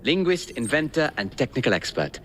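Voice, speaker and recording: A man voicing a courteous, self-possessed Victorian gentleman ally, speaking formally and expressively in a game cutscene. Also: mid-range voice, very animated, brisk, slight background noise. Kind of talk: dramatic game cutscene acting.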